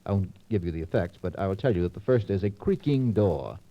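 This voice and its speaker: A man's voice: low voice, animated, fairly quick. A man moderating a 1940s radio panel quiz in a precise, wordy, teasing manner. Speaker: quiz show host. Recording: muffled 1940s radio transcription disc.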